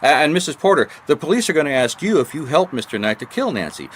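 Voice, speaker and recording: An actor playing a shrewd, dogged private detective in a radio mystery, questioning people in a wry, seemingly casual way. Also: male voice, on the high side, big pitch swings, quick pace, fairly bright tone. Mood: accusing, wry. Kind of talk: radio mystery drama acting. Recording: noisy radio drama recording.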